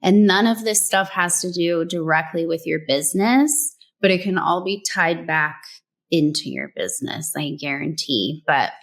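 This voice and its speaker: A woman's voice: on the low side, normal speed. A woman from New Mexico, a brand story strategist, chatting casually as an interview guest and giving advice with many "like"s and "um"s. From New Mexico, USA. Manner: relaxed, conversational, many "like"s and "um"s.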